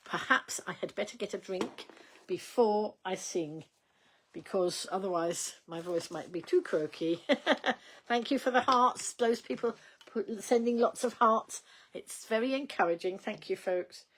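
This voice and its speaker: An older woman hosting a casual livestream, rambling in a chatty way with many ums and small laughs, her voice going slightly croaky. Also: medium pitch, unhurried. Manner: chatty, rambling, reads out viewer comments, frequent ums and laughs. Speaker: livestream host.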